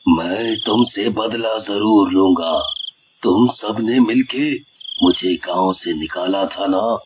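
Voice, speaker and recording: A man voicing a vengeful male demon ghost in a cartoon, speaking with drawn-out menace over music. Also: fairly low pitch, background noise. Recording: loud music under the voice.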